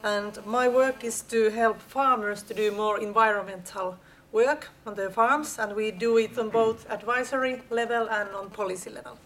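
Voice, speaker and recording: A woman's voice: a little high, normal speed, hardly any pauses, background noise. A woman from Finland introducing herself steadily at a seminar. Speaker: seminar participant. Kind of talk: brief self-introduction.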